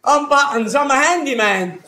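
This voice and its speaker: Woman playing a cheeky high-voiced pantomime servant, distant. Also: animated, moderate pace, bright, forceful tone. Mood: dismayed. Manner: chatty, cheeky, confiding in the audience. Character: friendly, cheeky young pantomime servant Buttons. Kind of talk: broad British pantomime acting to audience. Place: live amateur pantomime on a hall stage. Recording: echoey stage recording, distant mic, noise.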